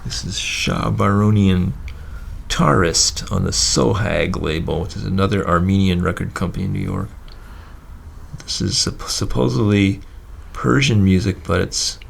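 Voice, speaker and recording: A man in a casual, halting chat about old records, as a guest record collector. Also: low-pitched, gravelly, a little hiss or hum.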